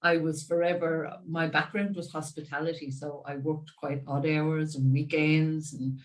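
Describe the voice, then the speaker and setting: A woman in her sixties with an Irish accent, a guest chatting about parenting in a lively, laughing way. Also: low voice, unhurried, far from the mic. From Ireland. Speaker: guest expert. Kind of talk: casual conversational interview.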